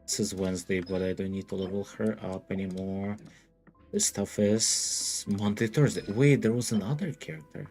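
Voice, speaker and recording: Male streamer, low voice, rambling, self-talk, faint music behind. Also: fairly even intonation, moderate pace, a little mellow. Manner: rambling, thinks aloud, laughs often. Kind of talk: casual gaming livestream chatter.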